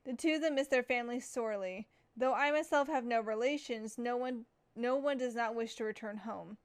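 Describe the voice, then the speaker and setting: A woman's voice: a little high, fairly quick, smooth. A young woman gamer chatting casually and reading game text aloud, full of fillers and giggles. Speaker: gaming streamer.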